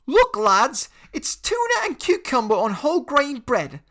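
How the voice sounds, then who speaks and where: A man's voice: very high voice, wildly swooping pitch, measured pace, pushed and bright, voice pushed up, heavily acted. Man voicing a sneering teenage bully. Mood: mocking. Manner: mocking, sneering. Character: taunting teenage boy bully, cocky. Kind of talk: audiobook character voice.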